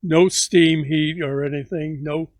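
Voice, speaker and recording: A man in his nineties recalling his childhood for a family interview, speaking slowly with halting pauses. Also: fairly high pitch, steady tone, darkish tone, dry recording, studio quality. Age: 90s. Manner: slow, halting recollection with many pauses. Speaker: grandfather telling stories.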